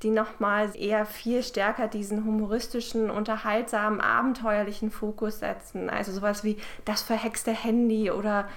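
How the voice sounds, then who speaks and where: A woman's voice: mid-range voice, flat delivery, moderate pace, hardly any pauses. A woman speaking as a scholar, explaining things in an unscripted interview manner. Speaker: literary scholar, expert. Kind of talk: spontaneous expert interview soundbite.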